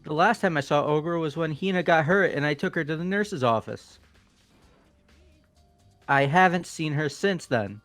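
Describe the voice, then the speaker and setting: A man's voice: a little high, moderate pace, long pauses, fairly bright tone, faint music behind, lightly acted. A man voicing a goofy, bumbling, evasive young character in a video game read-through, hesitant and casual. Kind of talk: hobby video game voice acting.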